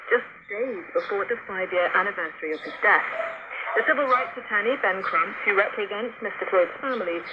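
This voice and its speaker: A woman presenting a radio news programme in a clear, deliberate scripted delivery. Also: medium pitch. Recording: noisy lo-fi shortwave radio reception.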